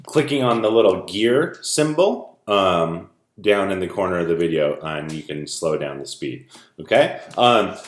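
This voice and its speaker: A man with a fairly low, very lively voice talks like a music teacher addressing children. He explains in an informal, direct way, and his voice carries an indoor room echo. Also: a little slow. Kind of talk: informal video lesson and read-aloud for children.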